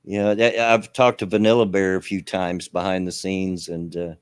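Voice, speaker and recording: A man's voice: sits low, flat delivery, moderate pace, smooth, no echo. An older man from the American South hosting a casual radio talk stream, rambling and laughing often. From Southern United States. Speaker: radio show host. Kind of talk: casual chat.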